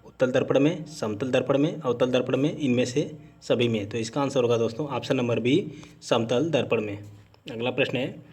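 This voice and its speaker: A man's voice: mid-range voice, normal speed, darkish tone, husky. A man teaching an exam-prep lesson, methodically reading out questions and then giving each answer.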